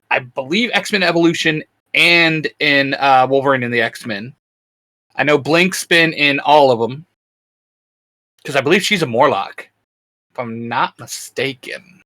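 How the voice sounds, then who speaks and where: A man's voice: mid-range voice, pushed and bright. A man co-hosting a casual chat, thinking aloud in a tentative way. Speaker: co-host.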